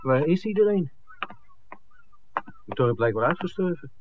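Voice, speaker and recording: An actor playing an eager, easygoing filmmaker with an eye for women in a 1960s Dutch radio drama. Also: male voice, on the high side, big pitch swings, a little slow, background noise. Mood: puzzled. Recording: muffled, narrow old radio recording.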